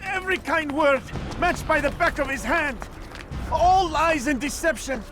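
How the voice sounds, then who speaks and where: An actor playing a desperate, defiant captive in a video game cutscene, with music behind him. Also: male voice, very high-pitched, measured pace, noisy background. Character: desperate, defiant captive patient, pleading and shouting. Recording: music under the voice.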